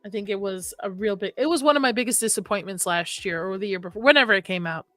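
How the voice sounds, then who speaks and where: A woman's voice: mid-range voice, fast talker, a bit bright, no room sound, soft background music. A young adult woman hosting a horror livestream, chatting casually and reading comments, rambling and laughing often; her first language was Arabic.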